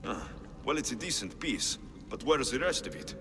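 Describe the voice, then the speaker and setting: An actor playing a pragmatic, skeptical mercenary adventurer in a game cutscene, wry and matter-of-fact. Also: male voice, medium pitch, expressive, normal speed, big-room sound, natural voice. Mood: wry, practical. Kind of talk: video game cutscene acting.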